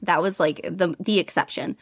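A woman's voice: fairly low pitch, moderate pace, dry recording, clean studio sound. A woman guest chatting casually in an interview, rambling with lots of fillers and frequent giggles. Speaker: podfic reader and guest.

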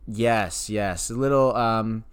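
A man co-hosting a podcast, talking casually and asking sly, mock-serious questions in a halting, repetitive way. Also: on the low side, clear, resonant tone, dry recording. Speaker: podcast co-host.

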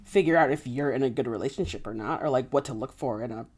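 A woman's voice: a bass voice, quick pace, darkish tone, husky. A woman co-hosting a podcast in a casual book discussion, speaking informally and saying "like" a lot. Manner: informal, heavy use of "like". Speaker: podcast co-host.

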